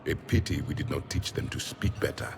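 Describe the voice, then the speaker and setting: An actor playing a proud, grim ancient alien warrior from a fallen empire in a video game, speaking with solemn, haughty deliberation. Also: male voice, a little fast, slight background noise, close to an everyday voice. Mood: condescending. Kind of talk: cinematic video game acting. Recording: long hall reverb, music behind.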